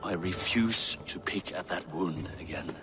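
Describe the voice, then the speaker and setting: A man's voice: sits low, a little slow, over music. An actor playing a stern, aging Viking king and father in a video game, speaking in a commanding, lecturing way. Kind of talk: video game cutscene acting.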